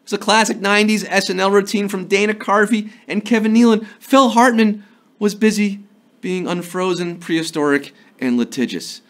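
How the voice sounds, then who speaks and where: A man in his thirties hosting a live trivia show from a studio, playful and jokey, a little hoarse from a sore throat. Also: high voice, fairly even intonation. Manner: playful, jokey, slips into quick impressions and sung bits. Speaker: trivia game show host. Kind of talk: upbeat live quiz hosting. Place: studio before a green screen.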